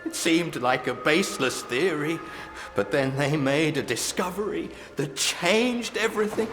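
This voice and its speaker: A frightened, wounded scientist from a video game, acted by a man. His voice is very high for a man, halting and agitated as he pleads. It carries a long hall reverb with music clearly behind it. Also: lightly acted. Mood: awed, explaining. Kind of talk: video game cutscene acting.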